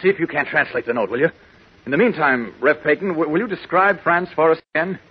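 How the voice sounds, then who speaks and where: An actor playing an earnest, urgent reporter in 1940s radio drama style, probing and explaining with some stammering. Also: male voice, on the high side, fast, slightly rough. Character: earnest, urgent male reporter, insistent and quick-thinking. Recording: muffled vintage radio recording.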